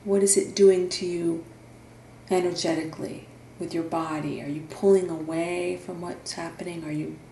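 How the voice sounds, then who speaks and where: A woman guiding a meditation in a soothing, gentle instructional manner, leaving long pauses between phrases. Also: fairly low pitch, steady tone. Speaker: meditation guide and therapist. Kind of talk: guided meditation narration. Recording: roomy echo, faint background noise.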